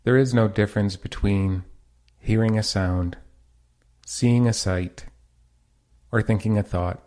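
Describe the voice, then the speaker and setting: A man leading a guided meditation as a teacher, with a measured, soothing, instructional delivery. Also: fairly low pitch, steady tone, darkish tone.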